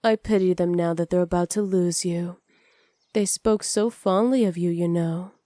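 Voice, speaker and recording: A woman playing a flirty, cruel evil witch in a soft ASMR roleplay, teasing and smug, close to the mic. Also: on the low side, fairly even intonation, no room sound, natural voice. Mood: mocking amusement. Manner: teasing, smug, unhurried, often dropping to near-whispers. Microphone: close microphone, dry.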